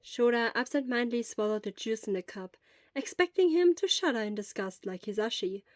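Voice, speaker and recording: High-voiced woman narrating a story, dry recording. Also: lively intonation, brisk, no echo. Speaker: podfic narrator.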